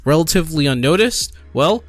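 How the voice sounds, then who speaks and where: A man's voice: fairly high pitch, animated, a little fast, faint music behind. A man giving casual, exasperated gaming commentary while reading posts aloud, repeating himself for emphasis. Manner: exasperated, incredulous, emphatic with repetition. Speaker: gaming streamer and host.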